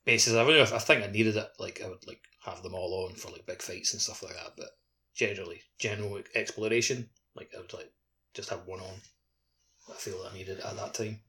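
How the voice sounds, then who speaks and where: Low-voiced man on a noisy recording. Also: steady tone, a little fast, sharp tone. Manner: hesitant, peppers speech with "like". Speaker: podcast co-host. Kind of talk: casual chat. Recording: clearly noisy background with room ambience.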